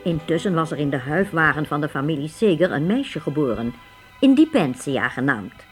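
A woman's voice: deep voice, very animated, moderate pace, soft background music. A woman reading scripted narration as the storyteller of a radio drama.

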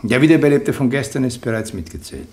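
An actor playing a fussy, sanctimonious senior bureaucrat in a radio play, formal and pedantic, with an Austrian accent. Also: male voice, medium pitch, very animated, quick pace, a little mellow, lightly acted. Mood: pompous, sanctimonious. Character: pompous, hypocritical male senior bureaucrat, fussy and sly. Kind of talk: 1960s German radio play acting.

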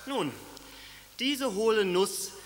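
A man's voice: high-pitched, slow. An actor playing a cheeky, clever young fisherman with a sly, mocking manner in a radio drama.

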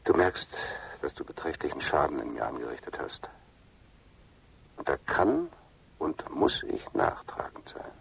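Very deep gravelly lover. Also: male voice, big pitch swings, a little slow, far from the mic, full character voice, poor audio. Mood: seductive, longing. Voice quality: gravelly, husky, breathy at times. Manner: intimate, florid, lingering phrasing. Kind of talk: radio drama interior monologue.

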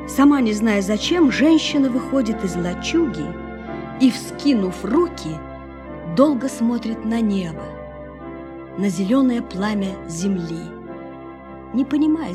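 A woman's voice: medium pitch, natural voice. A woman giving a dramatic, poetic narration in a radio play.

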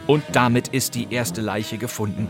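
A man's voice: mid-range voice, fairly even intonation, normal speed, gravelly, loud music behind the voice. A man hosting a podcast, delivering scripted narration with conversational asides and dry humour. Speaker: podcast host. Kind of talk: scripted narration about old radio crime plays.

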